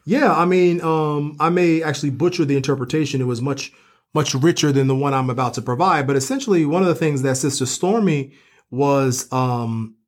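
Man, somewhat high pitch, professor, co-host, scholarly explaining, rhetorical questions, literary discussion. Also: fairly quick.

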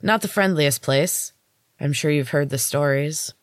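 A woman's voice: sits low, a little slow, dry recording, studio quality. A woman voicing a wry, knowing, strange rebel woman in a storybook reading. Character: strange older rebel woman, amused, smoking, guiding a newcomer.

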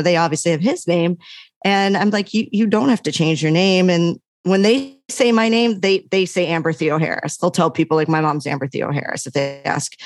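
A middle-aged American woman, a sports journalist, chatting as a guest in an interview, animated and laughing often. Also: medium pitch, no echo. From United States. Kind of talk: casual interview talk.

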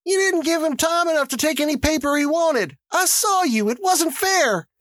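A narrator voicing a shrill housewife who protests indignantly and insistently, in an audiobook short story. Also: big pitch swings, unhurried, fairly bright tone, slightly rough, dry recording, studio quality, heavily acted. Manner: complaining, insistent.

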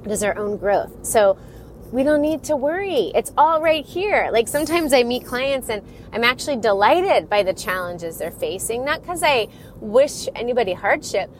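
A woman's voice: on the high side, lively intonation, normal speed. A middle-aged woman hosting her own podcast, stuffy from a cold, talking in a rambling, chatty way and often laughing. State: congested from a cold. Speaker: podcast host and divorce coach. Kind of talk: casual solo podcast talk. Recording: audible background noise.

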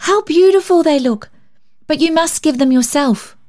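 A high, eager little girl from a storybook, played by a woman reader. She is cheerful and tender-hearted. She speaks in earnest, excited exclamations. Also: moderate pace, natural voice. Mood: delighted.

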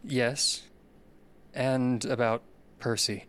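A man playing an uncertain, deferential man in an audio drama, speaking in a hesitant, halting way as he seeks answers. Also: mid-range voice, steady tone, a bit bright, clear, resonant tone. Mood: cautious, deferential. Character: uncertain, deferential man seeking answers about his father.

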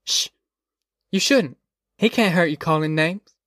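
A man's voice: on the high side, a little slow, a bit bright, no echo, clean studio sound, pitched above the performer's own voice. A man voicing a weary, firm farm mother with a curt, folksy manner in an audiobook. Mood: weary firmness.

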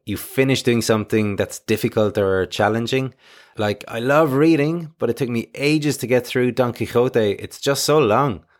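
A man hosting an English lesson, clearly explaining vocabulary and phrasal verbs with light personal asides. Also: mid-range voice. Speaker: English teacher and host.

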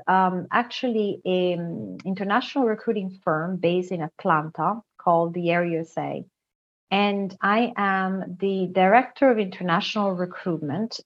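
The recording is a little dull and lo-fi. A woman with an Italian accent speaks as an interview guest at an average pitch. Her style is conversational storytelling. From Italy. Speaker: guest, international recruitment director. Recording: slightly dull, lo-fi sound.

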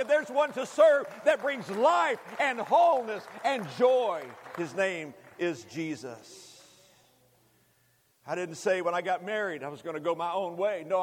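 Male preacher, fifties, high voice, emphatic repetitive sermon delivery, direct address, long hall reverb. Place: large hall, preaching to a congregation.

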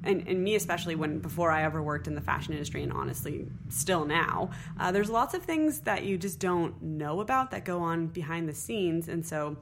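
A woman's voice: deep voice, lively intonation, a little fast, gravelly, hardly any pauses, slight background noise. A woman hosting a casual podcast interview, upbeat and curious, laughing often and asking lots of questions. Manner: enthusiastic, laughs often, asks many questions. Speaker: podcast host.

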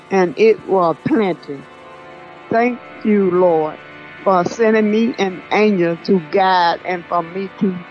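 A woman playing a reflective, grieving older grandmother in an audio drama, speaking solemnly and deliberately. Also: mid-range voice, muffled recording, lightly acted. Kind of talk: audio drama acting, diary poem recitation.

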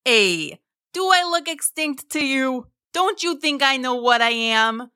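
A woman plays a giant prehistoric sea reptile from a children's story, male, chummy and laid-back. The voice is pushed high and bright, with easygoing, folksy slang and stretched-out words. It sounds eager to be friends and endlessly chatty. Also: animated, slow, clear, resonant tone, dry recording, clean studio sound, exaggerated voice. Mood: chatty, amiable.

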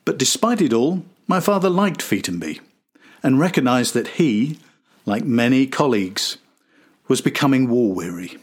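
A man's voice: mid-range voice, big pitch swings, normal speed, husky. A man hosting and narrating a scripted historical documentary. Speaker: podcast host and narrator.

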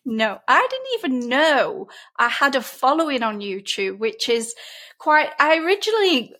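A woman's voice: sits high, very animated, normal speed, sharp tone. A middle-aged woman with a broad Lancashire accent, chatting and explaining things in a casual interview. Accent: broad Lancashire English. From Lancashire, England. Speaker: interview guest, painting teacher.

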